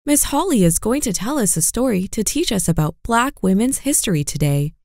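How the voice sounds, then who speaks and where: A woman's voice: medium pitch, big pitch swings, brisk, a little mellow, no echo, clean studio sound, voice pushed up. A woman voicing an eager, cheerful little girl in a children's story.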